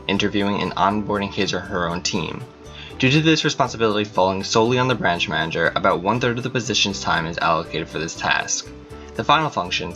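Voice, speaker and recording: Deep fast man reading a script. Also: bright, forceful tone. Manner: reads evenly from a script. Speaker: narrator. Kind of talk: scripted narration.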